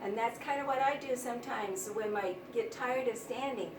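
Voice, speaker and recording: A woman teaching young children, explaining things simply and deliberately with plenty of repetition. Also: a little high. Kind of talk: read-aloud vocabulary lesson for young children. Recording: roomy, distant mic, noisy background.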